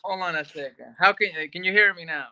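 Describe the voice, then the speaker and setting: Higher-voiced man from Argentina, chatting casually. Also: fast talker, sharp tone, poor audio. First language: Spanish. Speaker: interview guest, actor. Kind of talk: casual chat, storytelling.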